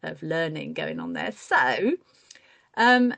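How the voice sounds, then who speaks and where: A woman with a slightly low voice hosts a relaxed video call conversation. She talks in a chatty, easygoing way and fills her pauses with ums and uhs. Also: vocal fry. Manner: chatty, many fillers. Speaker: host. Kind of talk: casual video call chat.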